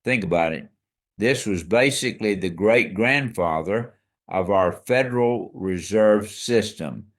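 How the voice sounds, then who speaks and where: Low-voiced man explaining history. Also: measured pace. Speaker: co-host. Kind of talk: scripted history podcast chat.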